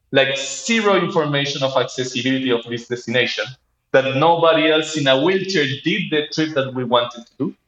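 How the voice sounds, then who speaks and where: A man in his thirties with a Chilean Spanish accent tells his own story as a guest. He speaks haltingly at a medium pitch, in a reverberant room with somewhat lo-fi sound. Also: fairly bright tone. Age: 30s. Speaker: interview guest, startup co-founder. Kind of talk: personal storytelling in an interview. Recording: roomy, dull lo-fi sound.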